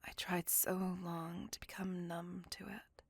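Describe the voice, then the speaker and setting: A woman voicing a gentle, remorseful immortal vampire in an ASMR roleplay, speaking tenderly and intimately in a breathy whisper. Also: fairly low pitch, level tone, dry recording, full character voice. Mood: remorseful, confessing. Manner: slow, tender, earnest confiding.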